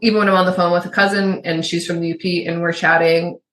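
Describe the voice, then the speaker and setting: Co-host, middle-aged woman, faint Yooper accent, lowish voice, chatty and rambling, roomy echo. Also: level tone, fast, fairly bright tone. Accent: Yooper, suppressed. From Upper Peninsula of Michigan. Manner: chatty, rambling with restarts and asides. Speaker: podcast co-host. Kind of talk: casual chat.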